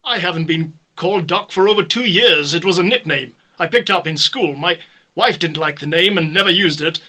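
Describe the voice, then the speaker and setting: Man playing an earnest husband, bright higher voice. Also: normal speed. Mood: pleading, defensive. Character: earnest husband suspected of his wife's murder. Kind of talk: amateur radio murder mystery acting. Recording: slightly noisy amateur voice-message recording.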